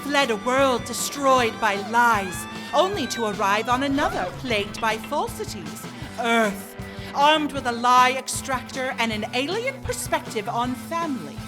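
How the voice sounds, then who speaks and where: A woman's voice: a little high, animated, a little slow, music in the background, poor audio. A woman delivering dramatic scripted narration as the announcer of a show's introduction.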